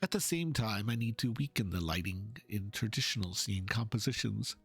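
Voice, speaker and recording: A man playing an earnest, pompous painter in a dramatic reading of game dialogue, speaking in a florid, halting, theatrical way. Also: fairly low pitch, lively intonation, vocal fry, husky, soft background music, full character voice. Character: theatrical, earnest male painter, pompous and fervent about art.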